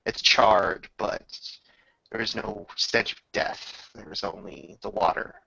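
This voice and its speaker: Gravelly man narrating grandly in a roomy space. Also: on the low side, sharp tone. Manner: measured, grandiose, with drawn-out pauses. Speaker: game master. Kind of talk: dramatic storytelling narration for a tabletop roleplaying game. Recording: slightly dull, roomy home recording.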